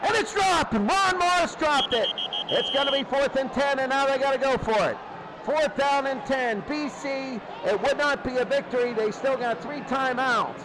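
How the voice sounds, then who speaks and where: A high-pitched man does radio football play-by-play over a loud crowd. His calls come in short running phrases, circling back to the down and the score. The sound is clearly noisy. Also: normal speed. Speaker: play-by-play sports announcer. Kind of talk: live American football radio commentary. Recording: loud crowd noise behind commentary. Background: noisy sports crowd.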